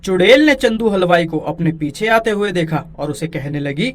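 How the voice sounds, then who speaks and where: A man narrating a scripted animated story for children as its storyteller. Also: high-pitched, moderate pace.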